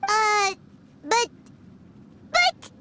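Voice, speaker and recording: A woman voicing a small, childlike fairy companion in an anime game, with a squeaky, naive, halting delivery. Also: very animated, noisy background, heavily acted. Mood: flustered hesitation. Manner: halting, speaks of self in third person.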